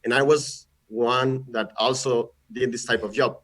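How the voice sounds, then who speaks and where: Male guest presenter, Spanish speaker, average pitch, casual and halting on a call mic. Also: fairly even intonation, fairly bright tone. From Mexico. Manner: friendly, halting, often repeats words. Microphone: video call.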